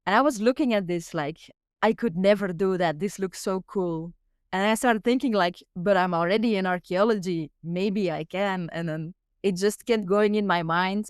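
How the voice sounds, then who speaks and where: A young Flemish woman speaks English with a Belgian lilt, her first language being Dutch. Her voice is smooth and clean, recorded close and dry with no room around it. She talks casually, pausing on fillers as she thinks. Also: fairly low pitch, dry recording. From Belgium (Flanders). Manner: chatty, with many fillers and hesitations. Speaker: guest, doctoral researcher. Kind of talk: casual conversational interview.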